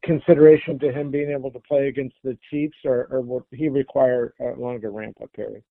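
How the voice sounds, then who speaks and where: A man's voice: medium pitch, flat delivery, fairly quick, gravelly, dry recording. A man, a reporter, asking hesitant, rambling questions on a press conference call. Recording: dry phone-line audio.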